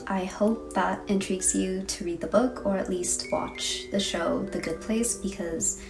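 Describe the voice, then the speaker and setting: A young woman in a casual diary vlog, talking in a tired, chatty, self-deprecating way. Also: medium pitch. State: hoarse, tired voice. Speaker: vlogger. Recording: distant mic with loud music behind.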